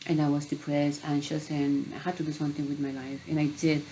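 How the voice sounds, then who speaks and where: A woman's voice: sits low, monotone, a little fast, warm tone, slight background noise, low-quality recording. A middle-aged woman from Peru, a health coach, earnestly telling her own story in a personal vlog.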